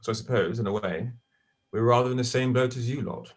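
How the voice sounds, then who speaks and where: A man's voice: mid-range voice, a little fast, close to an everyday voice. A man playing a posh, dry-witted young man. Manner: precise, dry, condescending. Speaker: actor in a stage play. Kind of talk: audio drama acting.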